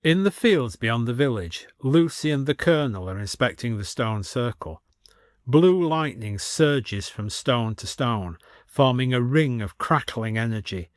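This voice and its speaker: A man reading a scripted story as an expressive storyteller with dry comic delivery. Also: medium pitch, measured pace, dry recording.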